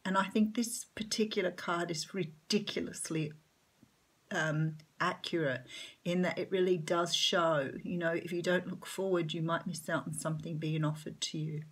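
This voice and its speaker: A woman with an Australian accent giving a chatty tarot reading, her voice a little croaky this morning, with lots of ums. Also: lowish voice, fairly even intonation, moderate pace. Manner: chatty, warm-hearted, rambling with many ums. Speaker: tarot reader. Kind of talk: casual tarot reading vlog.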